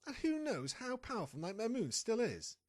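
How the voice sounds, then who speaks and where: A woman's voice: fairly high pitch, sing-song, moderate pace, darkish tone. A woman playing a sly, scheming pony reporter in an audio drama, confident and impatient with a partner. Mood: determined, scheming. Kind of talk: fan audio drama acting.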